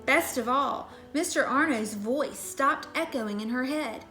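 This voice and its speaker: A woman's voice: high-pitched, unhurried, pushed and bright, reverberant, soft background music. A woman reading a children's storybook aloud in a scripted narration style. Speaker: story reader.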